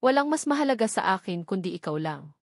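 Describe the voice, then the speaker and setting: A woman's voice: mid-range voice, sing-song, quick pace, no echo. Female narrator as intense Filipino male romance lead, possessive, serious, wounded, dry audiobook sound. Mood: earnest, insistent. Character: serious, possessive, wounded billionaire man in love.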